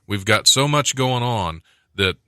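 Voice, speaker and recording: A man's voice: lowish voice, lively intonation, a little slow, sharp tone, clear, resonant tone, no room sound. A man hosting a radio show with casual banter, wry and self-deprecating. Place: small dry studio. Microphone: studio microphone.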